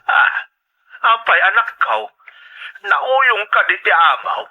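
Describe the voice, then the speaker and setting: A man's voice: sits high, expressive, slow pace, full character voice. A man with a Filipino accent playing a concerned, fatherly older man over a telephone effect in an Ilocano radio drama. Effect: telephone filter. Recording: thin, narrow telephone-line sound.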